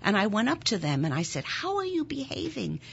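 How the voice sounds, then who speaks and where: A German-born woman in her sixties, a nonprofit founder, telling a deeply personal story in an interview in an earnest, intense way, her voice rising sharply when moved. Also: wildly swooping pitch, fairly quick, creaky, a bit bright, breathy edge, dull audio. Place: Philadelphia.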